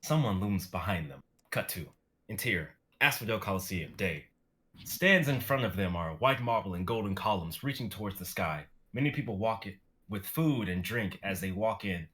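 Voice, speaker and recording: A man with a low voice narrates a script. He reads out stage directions as part of a dramatic reading. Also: expressive, normal speed, a bit bright.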